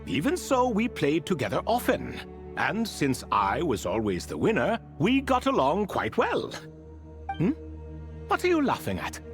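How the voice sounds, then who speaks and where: Old proud ibis spirit king from a game, slow n theatrical, voice goes way up and down. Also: fairly high pitch, huge pitch swings, soft background music. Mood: wistful, whimsical. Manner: theatrical, storytelling, archaic grand phrasing. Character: ancient sealed ibis spirit king, whimsical and prideful. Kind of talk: scripted game dialogue.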